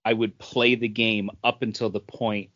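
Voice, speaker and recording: A man's voice: fairly low pitch, little pitch movement, normal speed, a bit bright, no room sound. Man in casual chat, dry muffled sound. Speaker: podcast co-host. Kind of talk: casual pop culture chat.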